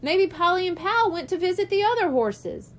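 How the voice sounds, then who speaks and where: Lady doing an eager worried little kid, boy, really high voice, storybook style, bouncy pitch. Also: very animated, normal speed. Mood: earnest. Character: eager, worried young boy looking for his lost pony.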